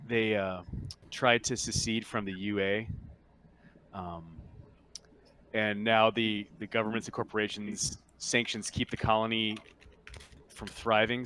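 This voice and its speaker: Low-voiced man running a tabletop game. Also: fairly bright tone. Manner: halting, informal explanation with many pauses. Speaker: game master. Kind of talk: briefing players in a tabletop roleplaying game.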